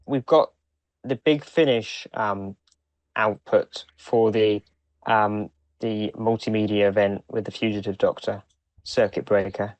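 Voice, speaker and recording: A man co-hosting a podcast, talking casually in a hesitant, halting way with repeated starts. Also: lowish voice, steady tone, no echo, dull audio. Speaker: podcast co-host. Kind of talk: casual chat.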